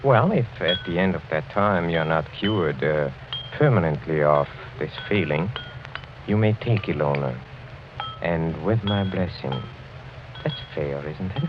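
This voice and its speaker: Deep-voiced sinister old-world count. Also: male voice, animated, noisy background. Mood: silkily menacing. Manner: courtly, insinuating, halting, with soft chuckles. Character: sinister, suave older European count, protective uncle. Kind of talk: 1940s radio acting. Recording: muffled old 1940s radio recording, faint music.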